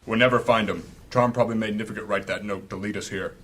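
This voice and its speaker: A man's voice: lowish voice, fairly even intonation, fast talker, a bit bright, natural voice. A man playing a blunt, grumbling, pessimistic adventurer in a fantasy audio drama. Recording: faint background noise.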